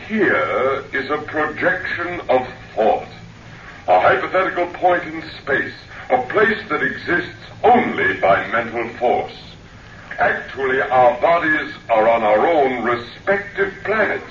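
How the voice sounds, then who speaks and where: An actor playing a dignified, elderly-looking alien lecturer in human guise in a radio drama, formal, deliberate and oratorical. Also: male voice, mid-range voice, sing-song, a bit bright, dull audio. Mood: grand, explanatory. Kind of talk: old radio drama speech. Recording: echoey, distant, noisy vintage radio recording.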